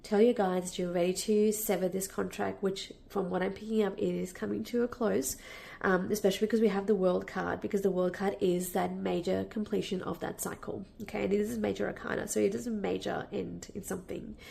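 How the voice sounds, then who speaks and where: Woman tarot reader, nasal and croaky from a cold, gravelly, gently reassuring. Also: mid-range voice, steady tone, fairly quick. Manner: warm, reassuring, with many fillers and restarts. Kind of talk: casual spoken tarot reading.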